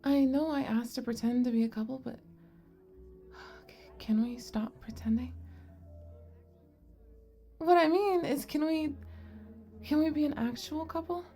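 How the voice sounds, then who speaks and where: A sweet, nerdy little goblin girl, acted by a woman in an ASMR fantasy romance. Her voice is high and mellow, and she talks slowly and softly. She is bashful and hesitant, often stumbling over her words. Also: steady tone, soft background music. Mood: tender, earnest. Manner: hesitant, soft-spoken, bashful stammering. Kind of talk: ASMR romantic roleplay acting.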